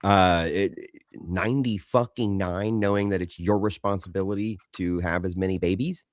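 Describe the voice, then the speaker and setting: A man's voice: low-pitched, steady tone, normal speed, no echo, studio quality. A man co-hosting a casual gaming podcast, talking emphatically with frequent swearing. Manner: emphatic, profane, enthusiastic. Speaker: podcast co-host.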